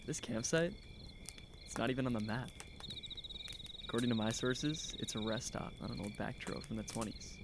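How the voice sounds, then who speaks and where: Casting: man as earnest teen guy, mid-range casual rambling voice, audio drama, crickets clearly behind him. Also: medium pitch, normal speed, pauses often, close to an everyday voice. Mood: eager, earnest. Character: eager high school boy, amateur investigator. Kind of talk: naturalistic audio drama dialogue. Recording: outdoor night noise, crickets. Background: crickets and insects.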